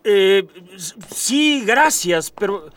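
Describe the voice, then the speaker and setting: A man's voice: sits high, very animated, drawn-out words, a bit bright, recorded from a distance, full character voice. A man with a Mexican accent playing a bewildered, meek earthling ex-policeman in a comic radio drama, stammering and deferential. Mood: confused, meek.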